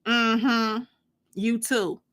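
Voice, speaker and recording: An older matriarch played by a woman reader in a storybook reading. She is blunt and disapproving, speaking in curt, folksy phrases with a slightly high voice. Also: steady tone, extremely slow, fairly bright tone. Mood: dismissive.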